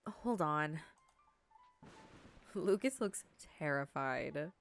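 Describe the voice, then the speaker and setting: A woman's voice: sits low, expressive, very slow. A woman hosting a game playthrough in a chatty, rambling way, her nose stuffed up from allergies. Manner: chatty and rambling, with many fillers and self-corrections. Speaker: gaming video host. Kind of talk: casual let's-play commentary.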